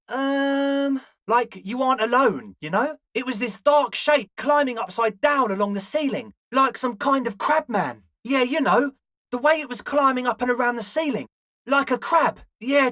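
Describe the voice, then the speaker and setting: A man's voice: very high voice, animated, unhurried, fairly bright tone, no echo, studio quality, full character voice. A man voicing an eager, flaky young male slacker ringleader in a video game, talking in an earnest, ingratiating, hedging way. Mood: eager, agreeable. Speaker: voice actor. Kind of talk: video game character dialogue.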